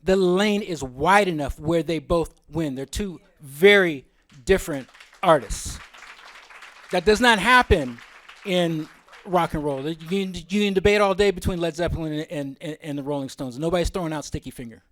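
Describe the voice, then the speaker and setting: Guy presenting at a symposium, voice a bit on the high side, informal, opinionated, goes off on tangents. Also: moderate pace, a bit bright.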